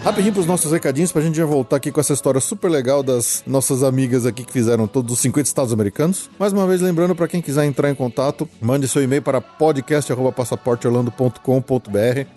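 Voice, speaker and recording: A man with a Brazilian accent hosts a casual podcast. His voice is dark and relaxed, at a middling pitch. Light music plays behind him as he chats and reads out announcements. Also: quick pace, few pauses. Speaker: podcast host.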